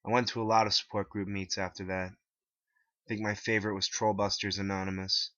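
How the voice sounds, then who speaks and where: Deep-voiced man narrating, bright and forceful. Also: little pitch movement, fairly quick, dry recording. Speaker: author reading his own story. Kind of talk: scripted dramatic narration. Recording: dull lo-fi, dry close recording.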